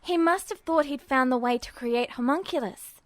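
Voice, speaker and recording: Lady doing a sarcastic know-it-all teen boy, highish voice, flat delivery, fiction audiobook. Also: no room sound, studio quality, natural voice. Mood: explaining. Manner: flat, sardonic, quick to explain. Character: sharp, sarcastic teenage boy alchemist.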